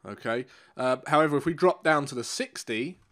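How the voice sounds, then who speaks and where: A man's voice: mid-range voice, brisk, a bit bright. A middle-aged man with a nasal, congested voice, walking viewers through trading charts in a casual, instructive way and repeating key points. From England. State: congested, blocked nose. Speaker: currency trading coach. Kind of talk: live trading room chart walkthrough.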